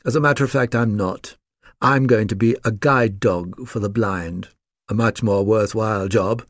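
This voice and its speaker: A man voicing an earnest, self-important Labrador puppy in a storybook, speaking in a measured way. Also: on the low side, no room sound, studio quality.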